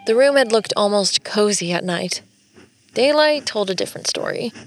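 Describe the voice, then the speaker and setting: A woman's voice: mid-range voice, animated, normal speed, soft background music, natural voice. An actress playing a wry, curious American woman, telling her story as conversational first-person audio drama narration. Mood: wry, musing. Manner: wry, musing.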